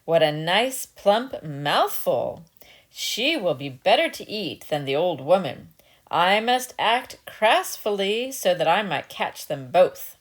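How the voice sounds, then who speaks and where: Woman putting on a sly storybook wolf, swooping pitch. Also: sing-song, slow, exaggerated voice. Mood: greedy, scheming. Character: sly wicked male wolf, smooth-talking predator.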